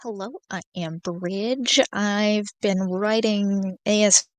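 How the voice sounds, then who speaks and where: A woman's voice: medium pitch, fairly even intonation, slow pace, dry recording. A woman who writes scripts, speaking casually as a podcast guest. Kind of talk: casual self-introduction.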